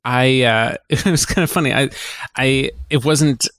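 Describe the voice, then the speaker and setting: A man co-hosting a podcast, chatting informally and telling things in a halting, conversational way. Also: mid-range voice, a bit bright, no echo, studio quality. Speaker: podcast co-host. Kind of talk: casual chat.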